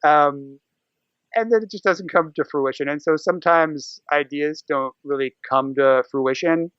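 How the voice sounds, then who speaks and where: A man's voice: fairly high pitch, a little slow, fairly bright tone, clear, resonant tone, no echo, muffled recording. An American man chatting casually as a podcast guest, rambling and acting out his own thoughts as he goes. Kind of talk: casual podcast interview chat.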